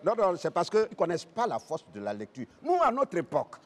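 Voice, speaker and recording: An indignant West African parliamentarian, high-pitched and very animated. He hammers his points with repeated exclamations in stage sketch style. The hall rings around him, with an audience audible. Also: brisk. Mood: mock indignation. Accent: West African French. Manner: emphatic, repetitive, exclaiming. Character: indignant Gondwanan parliamentarian mocking the young. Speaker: comedian on a satirical parliament show. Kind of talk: stage sketch comedy banter. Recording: echoey hall, audience noise behind.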